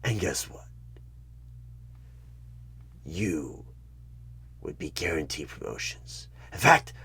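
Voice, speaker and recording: A man voicing a sly, coaxing demon recruiter in a husky whisper for a horror ASMR audio roleplay. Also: a bass voice, sing-song, bright, forceful tone, heavily acted. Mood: smooth salesman persuasion. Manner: slow, drawn-out, insinuating, persuasive.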